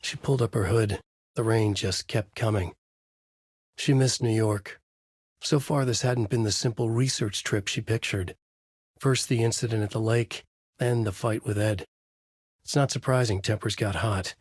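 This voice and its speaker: A man playing a brooding, haunted novelist in a video game, narrating his horror story in a grave, measured, dramatic way with a husky voice. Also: fairly low pitch, darkish tone, no echo, clean studio sound. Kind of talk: scripted noir horror narration, inner monologue.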